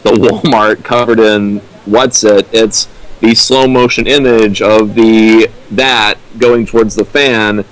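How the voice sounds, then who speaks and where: A man's voice: on the low side, steady tone, slow pace, dry recording, low-quality recording. A man giving casual, critical commentary as a co-commentator, with a dry manner.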